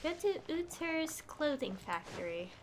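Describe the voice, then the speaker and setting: A woman's voice: high voice, big pitch swings, a little slow, background noise. A woman giving casual live gameplay commentary as a streamer, hesitant and thinking aloud, often trailing off. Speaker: game streamer.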